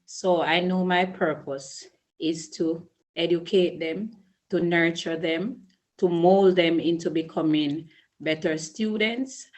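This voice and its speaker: Slow, low-voiced Jamaican woman. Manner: slow, measured, earnest with restarts. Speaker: early childhood school principal. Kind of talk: interview answers.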